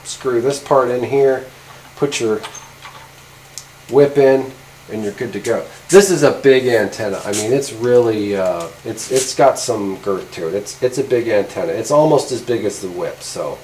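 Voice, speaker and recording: A middle-aged man with an average-pitched voice hosts a hands-on gear demonstration. He talks informally, rambling and hesitating as he goes. He is recorded in a workshop from a few feet away, with slight noise behind him. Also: unhurried, a little mellow, rough recording. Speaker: video host. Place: indoor garage workshop at a workbench.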